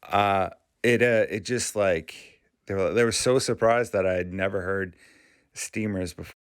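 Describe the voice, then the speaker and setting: A man from Maine hosting his channel, talking casually in a halting, rambling way with frequent restarts. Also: sits low, clear, resonant tone, no echo, studio quality. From Maine, USA. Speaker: YouTube channel host. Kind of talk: casual vlog commentary.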